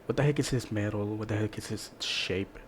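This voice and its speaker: Dude doing casual reaction chat, lowish voice, bit of background noise. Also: male voice, brisk. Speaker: reactor, co-commentator.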